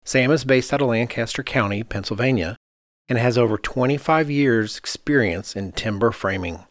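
A man's voice: medium pitch, moderate pace, no room sound, studio quality. A man hosting an interview podcast in a conversational style. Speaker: podcast host.

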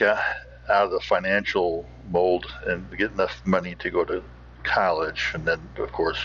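A man hosting with informal, rambling commentary in a folksy, halting manner. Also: low-pitched, sharp tone, husky. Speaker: podcast host. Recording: dull, muffled recording.

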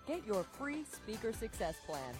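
Woman announcer, bouncy lively read, music clearly under her. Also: a little high, measured pace. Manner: upbeat promotional read. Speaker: podcast announcer. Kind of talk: scripted outro announcement.

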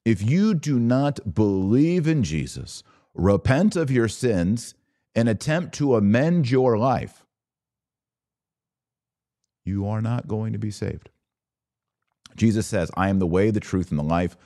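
Slow, low-pitched man preaching emphatically. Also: expressive, mellow. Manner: blunt, informal. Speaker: podcast host. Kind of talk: religious commentary monologue.

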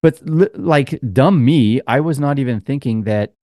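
A man's voice: mid-range voice, lively intonation, measured pace, mellow, no echo, clean studio sound. A man co-hosting a podcast, telling a long, rambling story in casual chat with lots of fillers. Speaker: podcast co-host.

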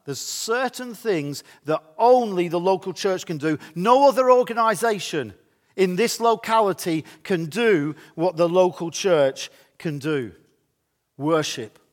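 Male preacher, high-pitched with a light croak. Emphatic sermon delivery, deliberate, leaning on repetition. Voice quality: slightly croaky voice. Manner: emphatic, deliberate, repeats phrases for effect. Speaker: pastor. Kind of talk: church sermon.

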